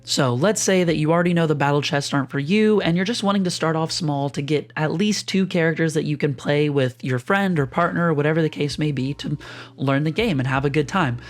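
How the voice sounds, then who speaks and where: A young man with a slightly hoarse, rough voice from a sore throat, casually walking viewers through board game choices in a chatty, explanatory way. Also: on the high side, brisk, faint music behind. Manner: chatty, explanatory, uses lots of fillers. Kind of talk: casual screen-share walkthrough.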